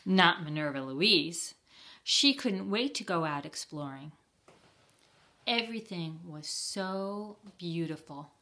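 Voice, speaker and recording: An older woman reads a picture book aloud for children. Her voice is fairly low and very unhurried, and she speaks deliberately, putting simple questions to young listeners. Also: expressive, bright, forceful tone. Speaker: library storytime reader.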